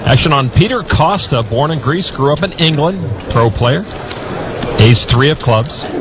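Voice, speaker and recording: A man's voice: a little high, expressive, measured pace, background noise. A man giving play-by-play commentary on a poker broadcast, with an emphatic delivery. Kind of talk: sports commentary.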